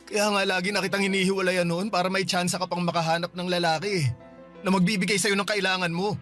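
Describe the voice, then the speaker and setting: A man's voice: high voice, flat delivery, fast talker, fairly bright tone, faint music behind. A man acting a tired young man defending himself in a radio drama argument with his girlfriend. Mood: arguing, weary. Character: weary young man in toxic relationship.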